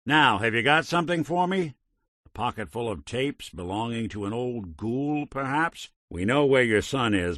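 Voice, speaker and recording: An actor playing a world-weary male synth private detective in a video game, with a gravelly voice and dry, wry noir delivery. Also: low voice, no echo. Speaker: companion character.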